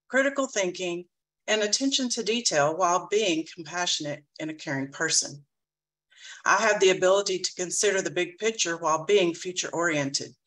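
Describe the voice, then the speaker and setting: A woman reading a prepared campaign speech as a board candidate, measured and earnest. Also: on the low side, fairly bright tone.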